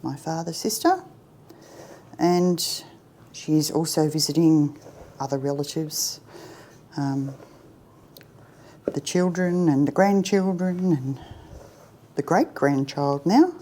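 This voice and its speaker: A middle-aged woman chatting her way through a baking tutorial in her home kitchen, self-deprecating and often dropping to a soft near-whisper. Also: deep voice, takes it slowly, pauses often, a little hiss or hum. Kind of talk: casual cooking demonstration.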